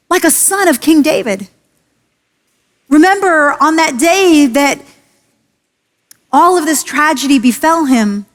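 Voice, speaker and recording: A woman pastor preaching a sermon, earnest and emphatic, slowing and lowering her voice on key phrases. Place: church sanctuary. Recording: long hall reverb.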